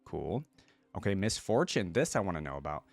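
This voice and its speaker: Male reaction host, lowish voice, dry close sound, conversational read-and-comment delivery that thinks out loud. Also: very animated, fairly quick, gravelly, dry recording. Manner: conversational, thinking aloud, musing. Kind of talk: casual reaction commentary, reading aloud and commenting.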